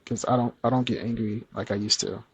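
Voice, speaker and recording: A man speaking gently and earnestly as a podcast guest, with a soft, soothing voice. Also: lowish voice, flat delivery, fast talker, creaky, poor audio. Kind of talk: candid conversational interview.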